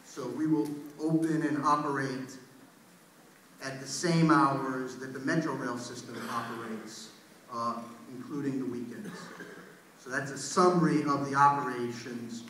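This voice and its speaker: A man giving a formal, halting explanation as an official at a public meeting. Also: mid-range voice, fairly even intonation, fairly bright tone. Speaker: transit program official. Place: large indoor public meeting room. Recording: very echoey hall, distant mic, faint noise.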